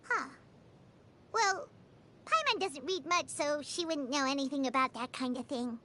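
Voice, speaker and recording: A woman voicing a small, excitable, naive childlike girl companion in a video game, squeaky and theatrical in her delivery. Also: a little slow. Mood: animated. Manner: refers to herself in third person, exclaims theatrically. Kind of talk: video game character voice acting. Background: background music.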